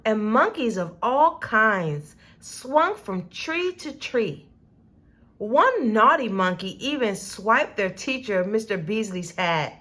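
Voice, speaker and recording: A high-pitched woman narrates a children's story. Her delivery is slow and deliberate, with very animated intonation that rises and falls expressively. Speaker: storybook reader. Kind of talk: children's read-aloud narration.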